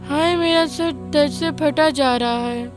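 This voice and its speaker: A woman's voice: high-pitched, takes it slowly. A woman playing a poor, weary widowed mother in a radio drama, earnest and plaintive. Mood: weary, despairing. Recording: music behind the voice.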